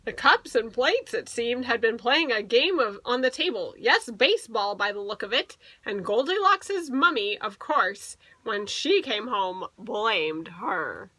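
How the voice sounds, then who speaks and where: A woman teacher reading a picture book aloud to children, playfully expressive, stretching words for emphasis and adding asides. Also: a little high, measured pace, few pauses, dry recording, rough recording.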